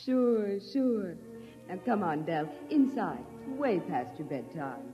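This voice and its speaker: A woman's voice: fairly low pitch, sing-song, takes it slowly. An actress playing a weary but loving woman of the house in a 1950s radio drama, speaking emphatically. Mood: gentle, dismissive. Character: weary, loving wife and mother, exasperated. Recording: dull 1950s radio recording, music behind.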